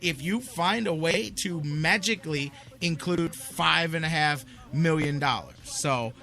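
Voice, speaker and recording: Male sports radio host, forceful and sharp, slightly high register, opinionated and insistent. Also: a little slow, vocal fry, faint music behind. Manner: emphatic, insistent, repeats his points. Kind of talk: opinionated sports talk with callers.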